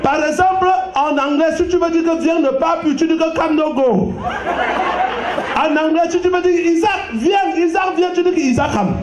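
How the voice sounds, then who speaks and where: Shouting comedian, high voice. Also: male voice, normal speed, background noise. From Cameroon. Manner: shouting, animated, mixes broken English into jokes. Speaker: stand-up comedian. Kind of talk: comic stage routine. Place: event hall at a gala. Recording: echoey hall with loud crowd.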